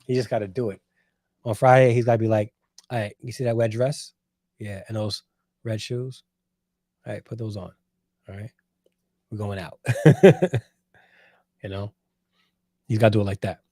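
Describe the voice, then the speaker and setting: A man's voice: on the low side, steady tone, moderate pace, pauses often, mellow, no room sound, studio quality. A man in his forties hosting a casual livestream, reading chat and answering with playful, teasing banter. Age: 40s. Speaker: host reading live chat.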